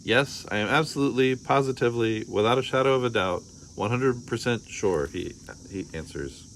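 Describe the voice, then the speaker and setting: A man's voice: mid-range voice, normal speed, a bit bright, a little hiss or hum. A man reading a children's storybook aloud expressively, acting out the dialogue. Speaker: storybook reader.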